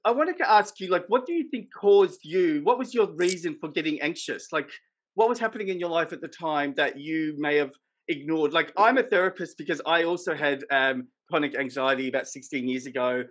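A man's voice: fairly high pitch, fairly quick, a bit bright. Chatty man hosting a podcast. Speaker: podcast host. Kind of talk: video call interview. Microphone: headset.

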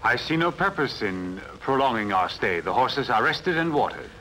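An actor playing a courtly middle-aged royal escort in a dubbed ancient epic, formal and deferential yet politely impatient. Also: male voice, medium pitch, very animated, moderate pace, bright, forceful tone, low-quality recording. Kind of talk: dubbed sword-and-sandal film acting. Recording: film dub with faint noise.

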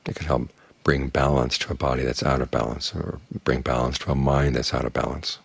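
Very deep, husky-voiced older man giving a talk. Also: brisk, no room sound. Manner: calm, plain-spoken, uses homely images. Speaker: Buddhist monk teacher. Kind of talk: evening dharma talk.

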